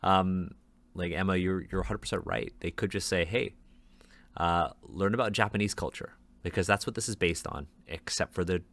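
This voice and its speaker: A man co-hosting a podcast discussion, talkative and quick to laugh and joke. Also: low-pitched, creaky, a bit bright, dry recording. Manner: talkative, laughs often, jokey asides. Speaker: podcast co-host. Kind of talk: casual panel discussion.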